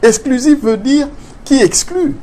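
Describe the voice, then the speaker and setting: A man's voice: very high voice, unhurried, dark tone. A man from Congo, a guest explaining his view insistently, asking rhetorical questions and slipping into Lingala phrases. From Congo (DRC). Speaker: guest commentator. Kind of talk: explanatory interview talk.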